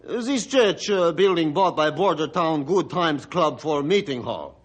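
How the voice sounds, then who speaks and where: An actor playing a hearty, tough French-Canadian lumberjack boss in a radio drama, speaking broken English with a thick accent. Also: male voice, fairly high pitch, expressive, a little slow. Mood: indignant. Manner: broken English, refers to himself by name. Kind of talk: old-time radio drama acting.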